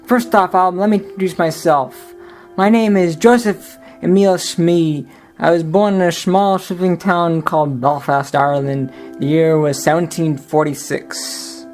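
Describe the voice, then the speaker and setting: Weary young pirate seaman, read by a woman, plain storybook telling, soft music behind. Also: lowish voice, fairly even intonation, unhurried, natural voice. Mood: earnest. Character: young Irish pirate seaman, put-upon, resentful. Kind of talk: first-person storybook narration.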